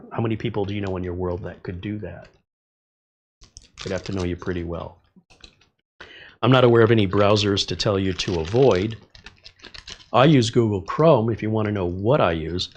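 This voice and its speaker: A man's voice: on the low side, slight background noise. A man hosting a casual tech livestream, rambling conversationally while a bit congested from a cold. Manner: rambling, conversational, talks through tangents. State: slightly congested, recovering from a cold. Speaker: tech channel host answering live chat. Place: studio.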